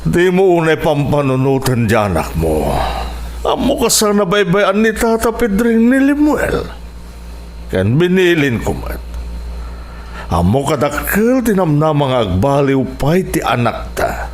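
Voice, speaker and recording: A man's voice: a little high, big pitch swings, measured pace, slight background noise. A man acting a stern middle-aged father in a radio drama, lecturing firmly. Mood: firm, explaining. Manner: firm, lecturing. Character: stern middle-aged father teaching his son hardship.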